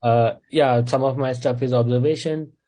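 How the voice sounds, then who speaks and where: Mellow-voiced young Indian man explaining. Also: medium pitch, moderate pace. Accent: Indian English. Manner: explains in steady steps, with frequent uh fillers. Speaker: stand-up comedian, interview guest. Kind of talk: casual interview chat.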